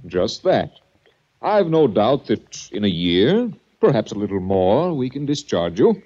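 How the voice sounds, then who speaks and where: An actor playing a smooth, sinister male sanitarium director in a 1940s radio drama, coldly polite. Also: lowish voice, sing-song, unhurried, mellow, heavily acted. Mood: condescending. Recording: dull old radio recording.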